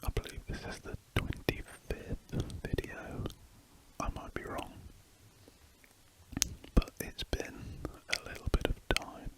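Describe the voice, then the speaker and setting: An ASMR drawing host chatting casually in a soft, meandering whisper with hesitant asides. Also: takes it slowly, rough recording.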